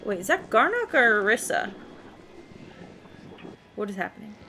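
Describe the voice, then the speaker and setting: A woman giving casual, expressive gameplay commentary, chattily reacting aloud to the game as she plays. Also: medium pitch, normal speed, vocal fry, a little hiss or hum. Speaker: video game streamer.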